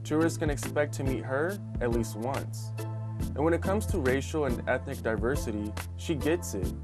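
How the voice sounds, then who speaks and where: A male reporter delivers scripted news narration in a measured, dramatic way. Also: mid-range voice, animated. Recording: music bed underneath.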